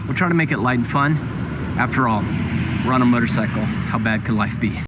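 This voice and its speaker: A man hosting a travel vlog, narrating his own story in a relaxed, conversational way. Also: medium pitch, fairly quick, heavy background noise. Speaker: host. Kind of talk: vlog narration and to-camera talk.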